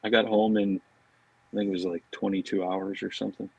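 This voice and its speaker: A man chatting casually as a podcast guest, talking in a loose, halting way. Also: fairly low pitch, little pitch movement, creaky, darkish tone, breathy edge, no room sound, low-quality recording. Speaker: podcast guest, fishing brand marketing director. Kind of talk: casual chat.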